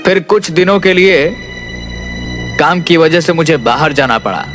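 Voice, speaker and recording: Man acting a righteous cartoon policeman, slightly high male voice, resolute, clear purpose, music behind. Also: expressive, measured pace. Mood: kindly, explaining. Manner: commanding, resolute. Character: firm, righteous male village police inspector. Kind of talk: Hindi animated moral story acting.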